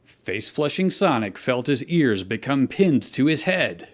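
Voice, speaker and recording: A man's voice: mid-range voice, big pitch swings, a little slow. A man chatting casually and joking with a group as a panelist. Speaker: fan fiction show panelist.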